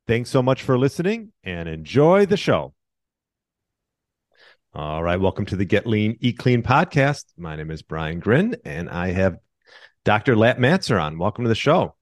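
A man's voice: fairly low pitch, very animated, moderate pace, dry recording, studio quality. A man hosting a podcast, reading an upbeat scripted intro and then asking interview questions. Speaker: podcast host.

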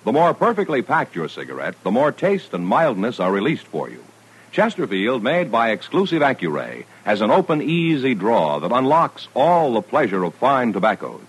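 A man's voice: mid-range voice, huge pitch swings, normal speed, faint music behind, rough recording. A man announcing a 1950s radio commercial with polished, emphatic salesmanship. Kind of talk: 1950s radio advertising read. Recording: vintage radio broadcast recording.

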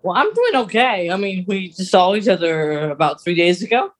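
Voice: mid-range voice, big pitch swings, normal speed. A podcast co-host chatting casually about fan news in a wry, conversational way.

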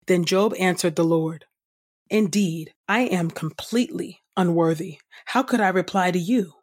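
A woman host reading scripture aloud from a script in a measured, solemn, clearly enunciated way. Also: on the low side, a little mellow. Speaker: podcast host and scripture reader. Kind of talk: scripted audio Bible reading.

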